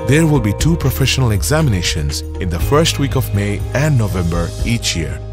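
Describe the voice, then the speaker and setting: A man's voice: on the low side, big pitch swings, a little fast. A man reading a scripted promotional voice-over for a college. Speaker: promotional narrator. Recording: voice-over over loud music.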